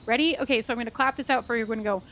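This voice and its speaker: A woman playing a frazzled, enthusiastic gnome coach with a bubbly, rambling, halting delivery. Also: on the high side, a bit bright, slight background noise, natural voice. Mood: busy, enthusiastic. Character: frazzled, enthusiastic female gnome training magical girls.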